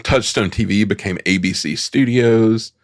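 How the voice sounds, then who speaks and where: Low creaky guy doing a casual history rundown on a podcast, wry asides. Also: normal speed, dry recording, studio quality. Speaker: podcast panelist. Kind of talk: informal history presentation.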